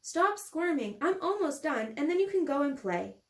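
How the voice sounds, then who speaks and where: Woman voicing a high, bossy little girl. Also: sing-song, normal speed, reverberant. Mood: bossy, motherly. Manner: earnest, insistent, playing grown-up. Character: young girl Ella, bossy, earnest, imaginative little sister.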